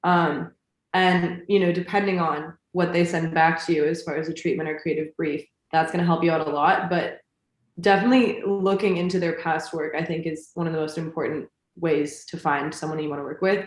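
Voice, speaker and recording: A woman on a panel answering questions about music videos in a relaxed way, thinking out loud. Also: low-pitched. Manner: relaxed, thinks aloud with fillers. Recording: roomy echo.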